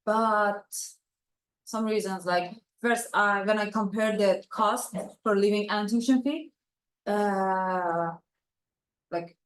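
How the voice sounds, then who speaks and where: Woman from Iran, student panelist, hesitant, searching for words, echoey room. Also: mid-range voice. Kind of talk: answering panel questions.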